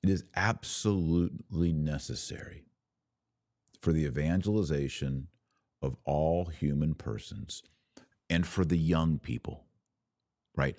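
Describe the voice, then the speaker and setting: A man's voice: extremely low pitch, a little slow, long pauses, a little mellow, no room sound, clean studio sound. A man hosting a podcast, giving news commentary as a monologue with emphatic phrasing and informal asides.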